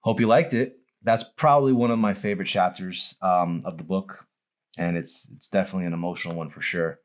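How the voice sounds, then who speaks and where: A man's voice: sits low, fairly quick. A man reading his own novel aloud as a dramatic audiobook narration.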